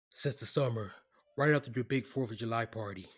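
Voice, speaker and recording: A man's voice: medium pitch, unhurried, a bit bright, husky. An actor playing a defensive, placating male lawyer who has betrayed his client, in an audio drama. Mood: sheepish, placating.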